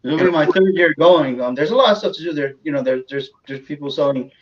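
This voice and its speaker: A man's voice: on the high side, fast, lo-fi sound. A man on a show panel chatting casually in short, laid-back, repetitive remarks. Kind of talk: casual chat.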